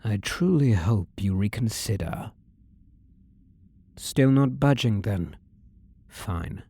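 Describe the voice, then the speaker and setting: Man acting a slow, smoothly menacing possessive demon boyfriend. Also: lowish voice, expressive, dark tone, full character voice. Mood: ominous, disappointed. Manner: slow, teasing, coaxing endearments, condescending. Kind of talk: scripted roleplay audio drama.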